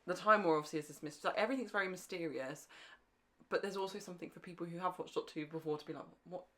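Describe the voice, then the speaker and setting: A woman's voice: on the low side, rapid-fire, pushed and bright. A woman co-hosting a podcast, chatting informally and emphatically about television as a fan. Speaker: podcast co-host.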